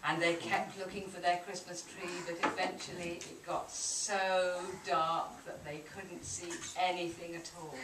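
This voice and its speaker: Slow-paced woman storyteller in echoey room. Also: fairly low pitch. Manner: simple repetitive phrasing, addressing children. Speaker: storyteller at a shadow puppet show. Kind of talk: live narrated children's story. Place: indoor room at live puppet show. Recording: distant mic, echoey noisy room.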